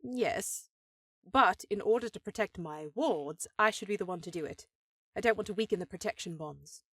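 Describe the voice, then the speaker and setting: A dry, close-miked woman playing an elven sorceress in a fantasy audio drama, with a medium-pitched voice. She is composed and a touch formal, an affectionate and gently teasing sister who carries her duty with poise. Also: normal speed, dry recording. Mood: assured, caring. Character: powerful elf sorceress sister, affectionate, teasing, dutiful headmistress. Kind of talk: scripted fantasy audio roleplay.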